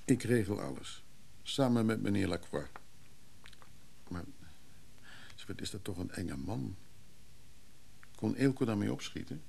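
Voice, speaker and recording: An actor playing a weary, protective man who is somewhat overwhelmed, in naturalistic radio drama dialogue, with a gravelly voice. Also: lowish voice, animated, a little slow, pauses often, a little mellow, full character voice. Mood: soothing, concerned.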